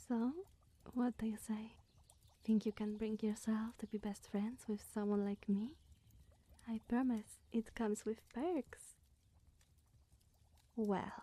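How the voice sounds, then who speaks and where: A woman playing a lonely, awkward immortal witch in an ASMR roleplay, speaking in a breathy, whispery, hesitant and coy way. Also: a little high, warm tone. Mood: lonely, hopeful.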